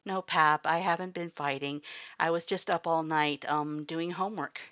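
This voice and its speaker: A woman's voice: sits low, fairly even intonation, normal speed, fairly bright tone, dry recording, dull audio. A woman voicing a tired young woman who speaks plainly and a little sheepishly. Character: sleepy young woman, the reader's protagonist.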